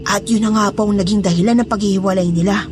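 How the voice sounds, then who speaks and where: A woman's voice: medium pitch, steady tone, fast talker, over music, natural voice. A woman playing a fearful, loyal housemaid in a Tagalog horror audio drama, confiding and deferential as she tells a suspenseful story. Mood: earnest, foreboding. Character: fearful, loyal housemaid revealing dark secrets.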